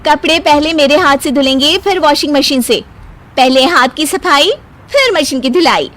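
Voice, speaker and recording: A woman voicing a proud, stubborn young married woman in an animated moral story, with a bossy, emphatic way of talking. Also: high-pitched, normal speed.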